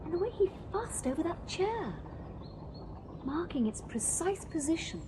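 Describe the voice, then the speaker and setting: A woman's voice: very high voice, sing-song, a little slow, noisy background, heavily acted. An actress playing a prim, coy young Victorian lady in a radio drama. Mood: indignant.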